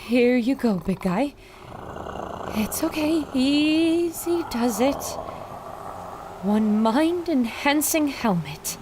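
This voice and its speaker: A level-headed adventurer heroine played by a woman in an audio adventure. The voice is high and slow-paced, gentle yet firm, with clear ambient noise around it. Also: lively intonation. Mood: soothing. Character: calm, brave female adventurer. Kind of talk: audio drama acting.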